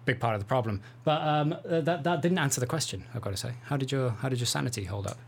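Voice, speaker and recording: A man's voice: medium pitch, fast talker, vocal fry, husky. Male host, mid-range voice, informal chatty interviewing. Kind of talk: casual conversational interview.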